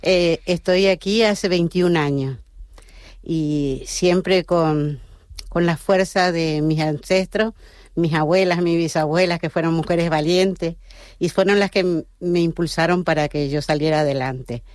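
A woman in her fifties, a grandmother, speaking as a radio guest in a calm, measured way. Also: on the low side, no echo, clean studio sound.

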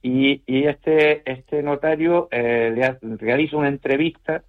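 A man, a novelist from the Canary Islands, answering radio interview questions in a measured, thoughtful way with frequent hesitations and tag questions. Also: medium pitch, fairly even intonation. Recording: muffled radio broadcast.

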